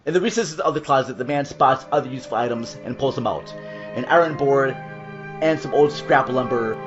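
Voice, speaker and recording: Male horror narrator over loud music. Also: mid-range voice, brisk, highs cut off. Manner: dramatic, descriptive storytelling. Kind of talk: scripted horror audio drama narration. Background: loud dramatic music.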